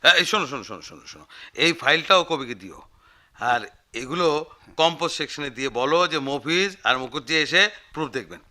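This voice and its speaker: An actor playing a fussy, effusive newspaper office manager in a radio drama, with repetitive, hesitant speech. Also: male voice, a little high, expressive, brisk, pushed and bright. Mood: brisk, officious. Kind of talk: Bengali radio drama acting.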